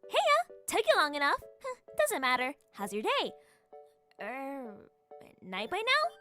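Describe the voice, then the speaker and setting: A woman's voice: high-pitched, wildly swooping pitch, slow, bright, forceful tone, voice pushed up, full character voice. A woman voicing a hyper, caring young female best friend in a visual novel, perky and affectionate, with music behind. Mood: hyper, cheerful. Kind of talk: visual novel character read-aloud.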